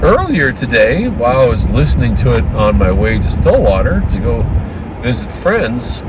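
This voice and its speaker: A man's voice: mid-range voice, sing-song, moderate pace, very noisy. A man chatting casually in a rambling, opinionated way, with a dry throat, recorded in a car. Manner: conversational, opinionated, frequent fillers and restarts. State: dry throat, occasional coughs. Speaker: ham radio vlogger. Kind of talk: casual rambling vlog talk.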